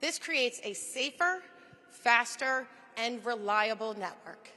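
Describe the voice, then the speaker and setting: A woman walks an audience through a technical program in a plain, explanatory way. Her voice is bright and slightly high, and it echoes in a large hall. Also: lively intonation, slow pace. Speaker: program presenter. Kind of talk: scripted public presentation.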